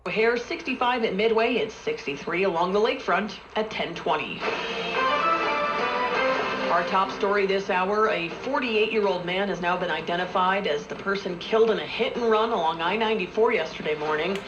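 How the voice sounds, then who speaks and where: A woman reading scripted news as a radio anchor, heard muffled through a radio with loud music. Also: medium pitch, moderate pace, reverberant.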